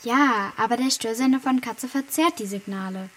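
A woman's voice: fairly high pitch, normal speed, fairly bright tone, a little hiss or hum, close to an everyday voice. A woman playing an earnest, plucky but unsure young girl in a children's radio play. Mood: eager.

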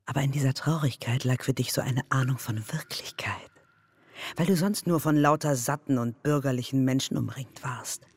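An actress in a German radio drama playing the taunting, insinuating inner voice of an anxious wife. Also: female voice, a bass voice, moderate pace, a little mellow, husky, heavily acted. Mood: mocking. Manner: insinuating, needling.

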